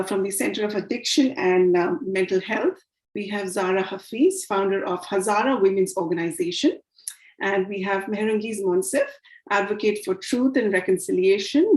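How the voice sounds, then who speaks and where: Woman, panel host, video call, filler-heavy, echoey room. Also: medium pitch. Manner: hesitant with frequent uh fillers, welcoming. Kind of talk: scripted-feeling virtual panel intro. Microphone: video call mic.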